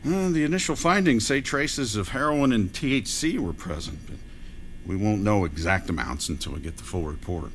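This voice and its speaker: A man acting as a deputy coroner in an audio drama, with a fairly low voice. He is clinical, inquisitive and dryly wry, with faint hum and room noise behind him. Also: expressive, moderate pace, natural voice.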